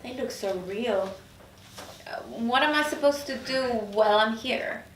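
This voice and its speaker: A woman's voice: fairly high pitch, measured pace, lightly acted. A woman playing a proud, sarcastic career woman who is easily offended, in a drama script table read. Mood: incredulous, sarcastic. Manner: sarcastic, incredulous. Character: proud, indignant career woman newly arrived in hell. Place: echoey room, recorded from off. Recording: roomy, distant mic, faint noise.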